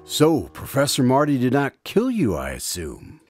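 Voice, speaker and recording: A man with a mid-pitched, very animated voice hosts a scripted radio drama. Guitar music plays clearly behind him as he announces. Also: moderate pace. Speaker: host and announcer.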